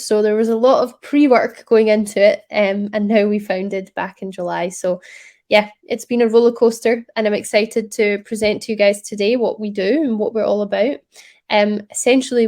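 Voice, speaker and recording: A woman with a Scottish accent presents her startup over a video call. She speaks in a friendly, upbeat, conversational way, filling pauses with ums. Her voice sits at an ordinary middle pitch. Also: a little mellow. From Scotland. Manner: upbeat, chatty, frequent ums.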